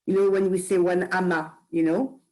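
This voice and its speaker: A woman with a French accent, chatting on a video call in a study group, often pausing and repeating words as she searches for them. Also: fairly low pitch, flat delivery, mellow, rough recording. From France. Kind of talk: casual chat on a video call.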